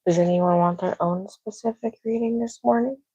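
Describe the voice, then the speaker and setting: A soft-spoken woman reading tarot on a casual livestream at home, talking quietly to herself. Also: mid-range voice, fairly even intonation, normal speed, husky, dry recording, muffled recording. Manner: talks to herself, quiet and musing. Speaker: tarot reader. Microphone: clip-on microphone.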